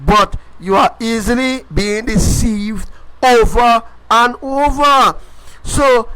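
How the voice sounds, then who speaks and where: Very high-pitched man preaching emphatically. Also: slow, pushed and bright, smooth, low-quality recording. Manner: emphatic and repetitive, hammering phrases again and again; addresses viewers directly as folks. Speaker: self-styled preacher. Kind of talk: live-streamed sermon.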